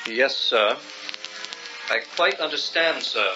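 A man's voice: medium pitch, expressive, slow pace. An actor playing a polite, deferential male butler in old-time radio drama style. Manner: polite, formal, servile. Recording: dull old radio recording, music behind.

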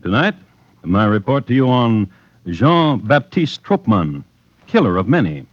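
A man narrating true crime as a radio host in a deliberate, dry, wry storytelling style from mid-century radio. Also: on the low side, sing-song, no echo. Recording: muffled vintage radio, music behind.

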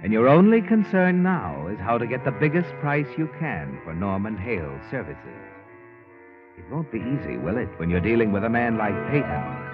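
A man narrating a 1940s radio drama, speaking to the listener as "you" in a knowing, insinuating manner. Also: medium pitch. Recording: tinny lo-fi old radio with faint music.

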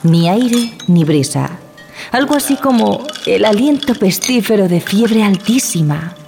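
A woman's voice: medium pitch, animated, unhurried, vocal fry, a little mellow. Grave woman narrator over music. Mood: grave recounting. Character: wary woman ship passenger recounting a horror tale. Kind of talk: dramatized first-person horror narration.

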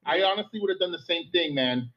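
A man in casual podcast chat, animated and joking as he talks with emphasis. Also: fairly high pitch, fast talker, a crowd in the background. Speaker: podcast co-host. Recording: dull lo-fi sound.

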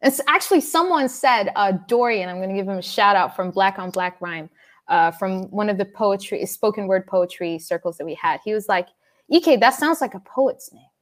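A woman poet from Dubai chats easily in an interview, her voice sitting in a middle pitch. She talks in a relaxed, reflective way, pausing on fillers and letting small laughs slip in. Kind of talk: casual interview chat.

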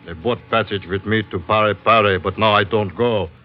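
A man's voice: on the low side, fairly even intonation, normal speed, natural voice. Blunt Dutch sea captain, a male actor, muffled radio. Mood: blunt, businesslike. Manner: blunt, clipped, foreign-sounding phrasing. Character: gruff, businesslike Dutch sea captain, man. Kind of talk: 1940s radio drama acting. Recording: muffled vintage radio, faint music.

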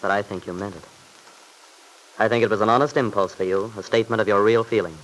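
A man playing an unyielding young father in an old-time radio play. His voice is fairly low and he talks briskly, staying polite and earnest while holding firm. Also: fairly bright tone, lightly acted, rough recording.

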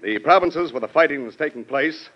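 Man playing army general giving urgent briefing, old radio drama, fast and animated, muffled lo-fi. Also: on the high side, natural voice. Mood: urgent, grave. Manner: formal military briefing. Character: urgent, respectful army general reporting to Cabinet. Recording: dull lo-fi vintage radio recording.

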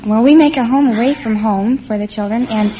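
A woman's voice: on the high side, fairly quick, a little hiss or hum. A nineteen-year-old woman answering a quiz show host's questions, shy and giggly, with short replies. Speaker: contestant. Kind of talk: radio quiz show interview. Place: radio studio before a live audience. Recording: old lo-fi radio broadcast.

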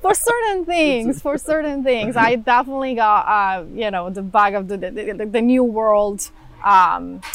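Italian-accented woman in her thirties, shop owner, halting casual talk with laughs. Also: fairly high pitch, a bit bright, no echo. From Italy. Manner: halting, restarts often, laughs easily. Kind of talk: casual interview chat.

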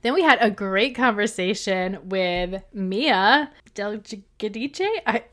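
A woman's voice: medium pitch, takes it slowly, a bit bright. A woman co-hosting a podcast, chatting casually and laughing often as she recaps past episodes. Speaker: podcast co-host.

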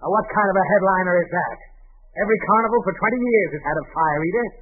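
A man's voice: high-pitched, animated, fast talker. A man playing a blustery, demanding, exasperated boss with a pompous barking manner in 1940s radio comedy style. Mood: indignant. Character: blustery, demanding male boss, exasperated with his bumbling employee. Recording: tinny band-limited 1940s radio recording.

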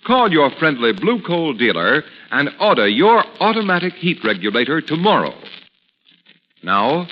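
A man's voice: a little high, sing-song, measured pace. A man reading a scripted commercial as a 1940s radio announcer. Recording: muffled, noisy old radio recording, reverberant.

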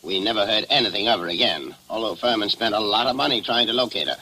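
Fussy detective agency manager, really sharp bright voice, talks fast, old noisy radio play. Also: male voice, fairly low pitch. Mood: eager, emphatic. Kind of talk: 1940s radio drama acting.

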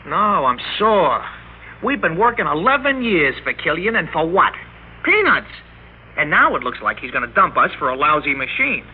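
An American actor playing a cynical, defiant office clerk, brash, sarcastic and slangy, in old-time radio drama style. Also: male voice, a little high, big pitch swings, moderate pace, narrow, tinny audio. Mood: angry. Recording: thin, noisy vintage radio recording.

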